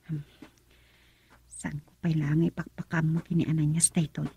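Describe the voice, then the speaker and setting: A woman acting a concerned, talkative friend in a radio drama, husky and breathy, with an Ilocano Filipino accent. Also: deep voice, little pitch movement, normal speed, warm tone, dry recording, full character voice.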